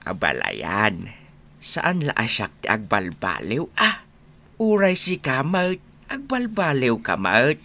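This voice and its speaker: A man's voice: medium pitch, huge pitch swings, slow, sharp tone, full character voice. An actor playing a jovial village father in a radio comedy-drama. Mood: coaxing. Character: jovial village father, chatting with his in-law.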